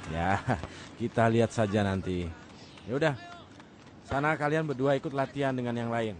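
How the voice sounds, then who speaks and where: A man's voice: mid-range voice, normal speed, lightly acted. Guy doing strict but fair soccer coach in a radio play, bit of outdoor noise. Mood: stern, fair. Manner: instructive, authoritative. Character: stern but fair male youth football coach. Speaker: actor in a radio drama. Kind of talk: radio drama acting.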